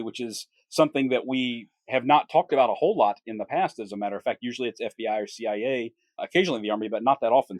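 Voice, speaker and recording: A man's voice: medium pitch, darkish tone, no echo. A man hosting a podcast interview, reading his sponsor thanks and asking earnest questions. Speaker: podcast host.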